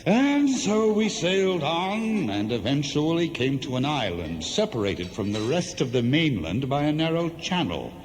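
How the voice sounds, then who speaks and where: An actor playing a crafty, weary Greek hero recounting his troubles in a theatrical, measured radio play style. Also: on the high side, very animated. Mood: storytelling. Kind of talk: 1970s radio play acting, storytelling. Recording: noisy, long hall reverb, cassette radio play.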